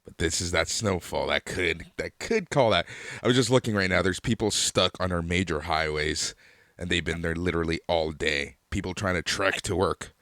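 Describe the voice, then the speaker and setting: A man's voice: deep voice, normal speed, fairly bright tone, no room sound, clean studio sound. A man from Canada hosting a casual podcast, chatty and upbeat, laughing easily. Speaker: podcast host.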